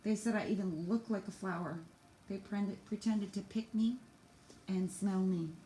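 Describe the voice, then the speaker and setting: A woman's voice: mid-range voice, unhurried, dark tone, echoey room, slight background noise, voice pushed up, natural voice, rough recording. A woman voicing a sensitive, miserable young schoolgirl in a storybook reading. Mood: hurt.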